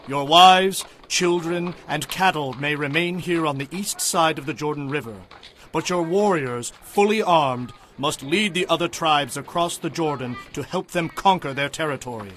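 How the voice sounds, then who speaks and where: A man's voice: fairly high pitch, normal speed, fairly bright tone, slight background noise, lightly acted. A man playing a resolute, commanding leader of ancient Israelite tribes, declaiming with authority in a dramatized audio Bible.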